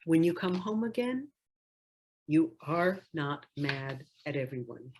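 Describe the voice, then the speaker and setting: A woman reading a picture book aloud expressively, with deliberate, phrase-by-phrase delivery. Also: low-pitched, very animated, noisy background. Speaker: storybook reader.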